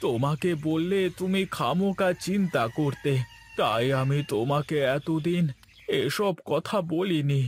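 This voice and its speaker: High-pitched, slow, anxious village husband played by a man. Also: animated, slight background noise. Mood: worried. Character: anxious village husband burdened by a guilty past. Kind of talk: Bengali cartoon voice acting.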